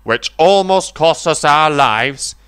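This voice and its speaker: A man's voice: fairly high pitch, lively intonation, slow, a bit bright, slight background noise, pitched above the performer's own voice. A man voicing a stern, fair-minded male railway boss in a children's story, speaking formally and emphatically. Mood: grave, formal. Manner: formal, emphatic speech-making.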